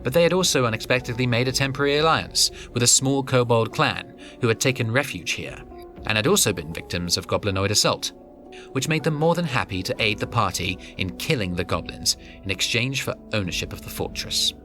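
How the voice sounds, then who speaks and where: A man narrating a fantasy audio drama in a measured, descriptive storytelling manner. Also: medium pitch, expressive, sharp tone. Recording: background music.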